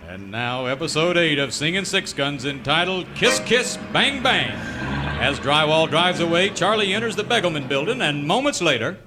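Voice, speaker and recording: An actor playing a melodramatic old-time radio serial announcer, declaiming scripted narration with mock seriousness. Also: male voice, a little high, expressive, moderate pace, background noise, close to an everyday voice.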